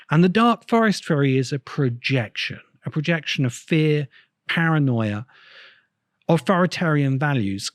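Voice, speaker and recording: A man giving a semi-improvised video essay commentary as host, with halting, repeated words and emphatic stresses. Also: a little high, no room sound, studio quality.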